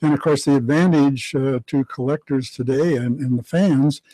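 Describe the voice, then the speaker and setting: A man in his seventies, a guest historian, explaining things haltingly in a radio studio interview. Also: mid-range voice, mellow, dry recording, low-quality recording. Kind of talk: informative interview talk. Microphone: boom studio mic.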